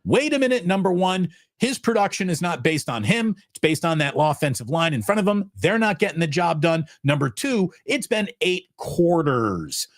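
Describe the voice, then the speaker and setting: A man's voice: fairly high pitch, very animated, normal speed, hardly any pauses, dry recording, clean studio sound. Punchy, opinionated sports talk man. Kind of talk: sports analysis chat.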